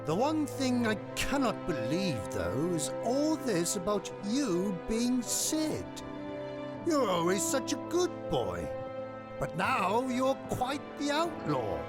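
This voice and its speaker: A man's voice: high voice, wildly swooping pitch, takes it slowly, heavily acted. A man voicing a hearty, jovial older uncle. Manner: boisterous, hearty, laughing. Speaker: fan voice actor. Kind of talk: fan dub of game cutscene. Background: game score music.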